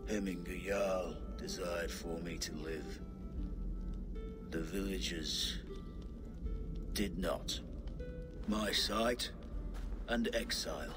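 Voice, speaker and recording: A solemn outcast tattooist from a dramatic video game. He speaks very slowly and deliberately in a low register, fatalistic and grave, with music clearly playing beneath.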